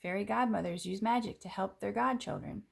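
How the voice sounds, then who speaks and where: A woman reading a children's picture book aloud in an expressive, clearly phrased way, with casual asides. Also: mid-range voice, a little fast.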